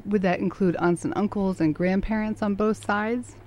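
A woman's voice: mid-range voice, fairly even intonation, normal speed, darkish tone. A woman hosting an interview program, introducing and questioning her guest. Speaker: radio interview host.

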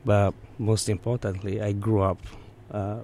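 A man's voice: lowish voice, flat delivery, a little slow, dark tone, slight background noise. A man from Eastern Congo with a Central African accent, recounting personal memories as an interview guest.

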